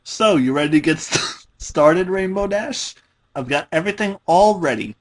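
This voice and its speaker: Guy reading as a polite girl cartoon pony, kinda reluctant, lively highish voice. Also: normal speed. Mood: psyched up. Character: female cartoon pony, polite but uneasy.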